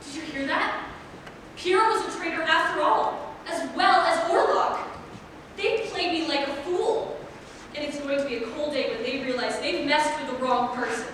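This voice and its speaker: A woman's voice: high-pitched, moderate pace, a bit bright, slight background noise. A woman playing a bitter, sarcastic exile who feels wronged, in a staged fairy tale play reading. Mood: vengeful. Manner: sarcastic, indignant. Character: bitter, wronged young exile hiding in a cave. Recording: very echoey hall, far off, music behind.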